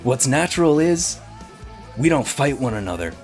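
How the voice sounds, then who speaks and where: A man's voice: mid-range voice, very animated, moderate pace, pitched above the performer's own voice. A man playing an earnest, swaggering dude hero who makes slangy, rambling bro speeches. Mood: earnest speechifying. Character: earnest, swaggering dude hero pitching wolf-pack solidarity. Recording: music behind.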